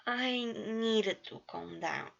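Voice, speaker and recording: A woman's voice: a little high, expressive, extremely slow, vocal fry, fairly bright tone, dull audio, full character voice. A woman voicing a guarded, anxious young man in a story reading, dry and terse. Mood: weary.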